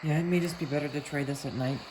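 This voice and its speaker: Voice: mid-range voice, fairly even intonation, quick pace. A vlogger thinking aloud in a casual, musing way while demonstrating a gadget hands-on. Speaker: vlogger reviewing a radio. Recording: noisy home video, radio static behind. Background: shortwave radio hiss and whining.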